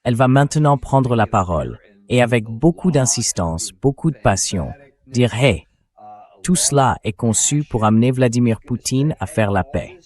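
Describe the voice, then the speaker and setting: A man's voice: medium pitch, animated, normal speed, darkish tone. A man's synthetic AI dubbing voice hosting a political news discussion and introducing clips. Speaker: host.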